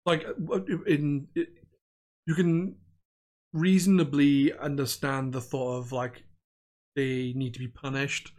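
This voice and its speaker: A man's voice: mid-range voice, level tone, slow, darkish tone. A young man with a Welsh accent co-hosting a film podcast, chatting casually, rambling and laughing often. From Wales. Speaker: podcast host. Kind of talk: casual chat.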